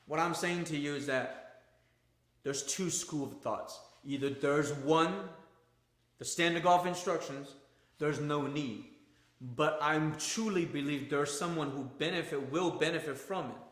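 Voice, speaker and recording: A man's voice: on the high side, measured pace, a bit bright, echoey room. A male golf instructor, not a native English speaker, giving an emphatic, repetitive livestream talk in plain, non-native grammar.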